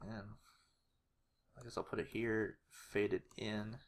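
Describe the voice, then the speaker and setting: A man's voice: on the low side, little pitch movement, slow pace. A man narrating a casual tutorial, hesitant and unrehearsed, thinking aloud as he works. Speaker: animator, video creator.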